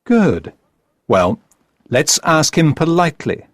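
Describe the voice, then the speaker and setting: A man voicing a brisk, sardonic detective with a curt, superior manner. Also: a little high, very animated, dry recording, clean studio sound, pitched above the performer's own voice, heavily acted. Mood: brisk, composed.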